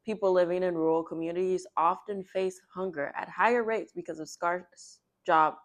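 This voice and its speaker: Expressive woman reading aloud for children. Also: on the low side, normal speed. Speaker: children's read-aloud host, librarian. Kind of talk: children's storybook read-aloud with character voices and asides.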